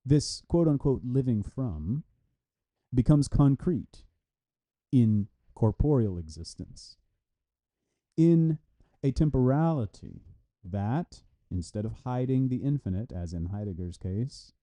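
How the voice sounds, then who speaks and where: A man's voice: mid-range voice, expressive, slow, warm tone. A man reading an academic philosophy review aloud in a formal, scripted style. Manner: reads punctuation and citations aloud. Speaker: reader of a translated philosophy article.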